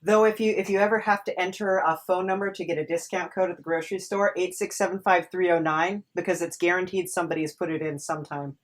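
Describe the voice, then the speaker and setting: A woman with a slightly low voice hosts a podcast interview. She talks in a casual, conversational way. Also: fairly even intonation, quick pace, few pauses.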